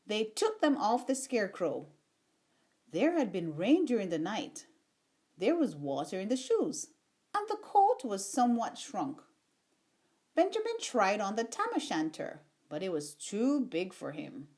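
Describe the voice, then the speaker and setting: A woman reading a children's storybook aloud in an expressive, unhurried storytelling manner. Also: medium pitch. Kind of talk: read-aloud storybook narration.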